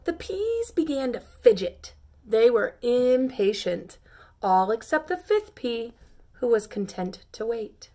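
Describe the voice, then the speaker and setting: Lady reading a kids' story aloud, slow and gentle, chats to the kids. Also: on the high side. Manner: gentle, chatty asides to listeners. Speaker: storybook reader. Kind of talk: reading a picture book aloud to children.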